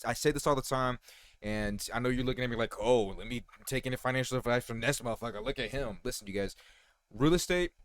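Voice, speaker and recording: A man's voice: mid-range voice, fast talker, smooth, dry recording. A man in his thirties giving casual, rambling reaction commentary, full of fillers and swearing and talking straight to his viewers. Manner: keeps saying "you guys" to viewers. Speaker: reaction video host.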